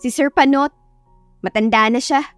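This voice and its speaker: A woman voicing a chatty, bashful young female designer in a story, animated and girlish, with a Filipino accent. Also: high voice, normal speed, no echo, soft background music, pitched above the performer's own voice, lightly acted. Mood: chirpy.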